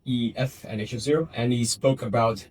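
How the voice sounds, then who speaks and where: Male interviewer, husky voice, middle pitch, chatty, meandering questions. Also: steady tone, brisk, darkish tone. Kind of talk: casual interview chat.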